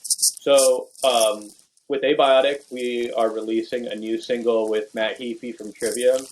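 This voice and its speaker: A young man, a bass player, chatting loosely in a podcast interview, filling his talk with "like" and "you know". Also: level tone, unhurried, darkish tone, poor audio. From Venezuela. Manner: rambling, full of "like" and "you know".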